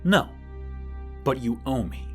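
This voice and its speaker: A man's voice: mid-range voice, lively intonation, slow, a little mellow, soft background music. Man playing a bitter, menacing bounty hunter, swooping delivery. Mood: angry, accusing. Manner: threatening, dour, sarcastic. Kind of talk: audio drama acting.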